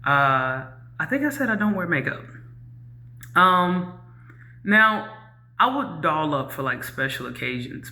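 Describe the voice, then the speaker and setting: A woman with a very low voice, talking candidly to camera in a reflective way, with many ums and you-knows. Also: sharp tone. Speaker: YouTuber. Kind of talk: casual talk to camera.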